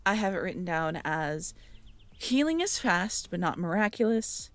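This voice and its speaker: A woman's voice: lowish voice, very animated, normal speed, dry recording. A woman in an intimate audio roleplay, musing aloud as she reads through notes and checks back with the listener.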